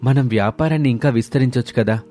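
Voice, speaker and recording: A man's voice: mid-range voice, fast talker, dark tone, close to an everyday voice. A man voicing an eager young village entrepreneur in a family story, upbeat and earnest. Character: eager young brother, laid-off techie turned entrepreneur. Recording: background music under the voice.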